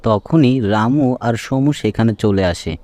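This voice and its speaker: A man's voice: medium pitch, slow pace, mellow, no echo. A man reading scripted narration for a children's cartoon story.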